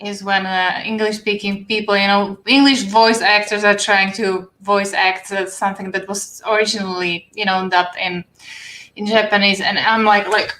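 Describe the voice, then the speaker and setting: Bright-voiced woman chatting candidly. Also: medium pitch, flat delivery, normal speed. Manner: candid, laughs while talking. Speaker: guest. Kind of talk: casual chat.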